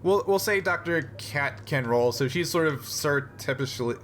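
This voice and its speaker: Man running a game, clearly noisy recording. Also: fairly high pitch, lively intonation, normal speed, fairly bright tone. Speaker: game master. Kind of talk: running a tabletop sci-fi game, casual explanations.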